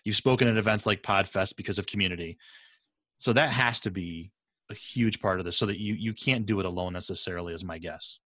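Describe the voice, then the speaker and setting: A man with a slightly low voice hosts a casual interview. He talks informally and with enthusiasm, and his sound is very dry, with no room echo. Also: brisk, fairly bright tone. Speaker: podcast host. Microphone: USB desktop microphone.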